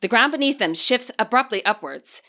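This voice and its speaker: Expressive woman narrating a story. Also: fairly high pitch, moderate pace. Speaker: fanfiction author reading her podfic. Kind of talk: audiobook narration.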